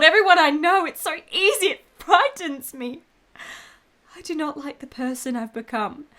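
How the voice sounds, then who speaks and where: A woman's voice: high voice, bright, forceful tone. An actress playing a passionate, guilt-ridden married woman in a period radio drama, with theatrical emotion and formal phrasing. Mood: anguished.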